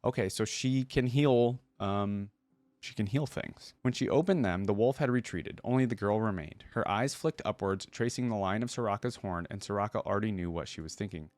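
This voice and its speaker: Male reaction host, lower register, casual reader-commentator who thinks out loud, dry recording. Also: normal speed, no echo. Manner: breaks off to muse aloud and explain. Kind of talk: reading a story aloud with casual commentary.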